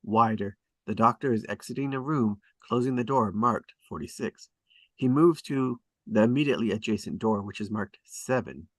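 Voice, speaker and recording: A man reading stage directions aloud at a script table read, in a deliberate, descriptive way. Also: on the low side, dry recording.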